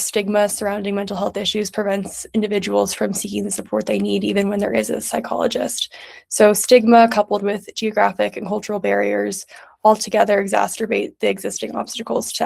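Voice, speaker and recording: A young woman from New York City presents her summer project at an even, mid-range pitch. Her voice carries a gravelly, fry-tinged edge as she moves methodically through prepared notes, clearly and in order. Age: young adult. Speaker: student intern presenter.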